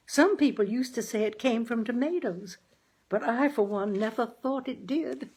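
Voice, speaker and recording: A reader's folksy, high-pitched chatty housewife. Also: female voice, moderate pace, darkish tone, husky. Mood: earnest, chatty. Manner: folksy, exclamatory. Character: chatty, earnest, optimistic homespun housewife and mother. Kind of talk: dramatized audiobook character.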